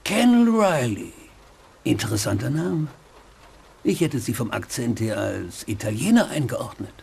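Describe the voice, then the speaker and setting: Smug, mocking club owner. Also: male voice, mid-range voice, big pitch swings, unhurried, creaky, a little mellow, husky, full character voice. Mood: condescending. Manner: smug, condescending, drawling. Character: smug male nightclub owner, worldly and mocking. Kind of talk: dubbed game voice acting.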